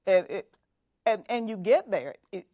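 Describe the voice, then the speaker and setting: A middle-aged woman speaking as a guest mentor, measured and reflective, often repeating phrases for emphasis. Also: mid-range voice, animated, warm tone, no echo, studio quality. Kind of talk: reflective interview talk.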